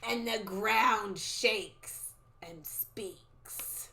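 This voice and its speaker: A woman voicing a creepy, raspy old female soothsayer in a storybook, delivering ominous warnings in a drawn-out way. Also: mid-range voice, sharp tone, exaggerated voice, rough recording. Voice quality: raspy, hissing.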